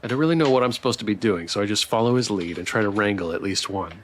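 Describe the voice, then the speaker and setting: A man's voice: lowish voice, very fast, a little hiss or hum. A man streaming a visual novel, reading its text aloud with playful, theatrical commentary and joking asides.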